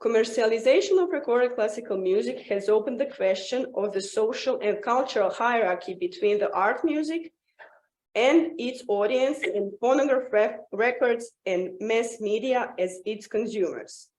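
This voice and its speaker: Higher-pitched woman reading an academic paper, echoey. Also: darkish tone. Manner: halting delivery, stumbling over words and restarting them. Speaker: academic presenter at a symposium. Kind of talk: scripted academic paper read aloud.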